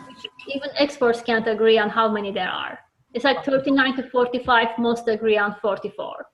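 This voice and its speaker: A woman from Turkey with a Turkish accent, earnestly explaining her work as a children's book author in an interview, often restarting her sentences. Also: sits high. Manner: earnest, thoughtful, with frequent restarts. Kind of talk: interview answers. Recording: dull lo-fi audio.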